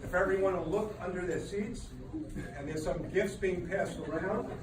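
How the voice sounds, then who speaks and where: A man's voice: high voice, normal speed. A man giving a humorous wedding rehearsal dinner speech, telling dry, self-deprecating jokes to a laughing crowd. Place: large banquet room with a crowd. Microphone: no microphone, recorded from across the room. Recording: echoey room, distant, loud crowd noise.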